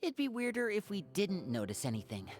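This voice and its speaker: A woman's voice: medium pitch, huge pitch swings, brisk. A woman playing an easygoing, tactful young companion in an anime-style game, with a light, teasing manner. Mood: amused. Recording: faint game music behind.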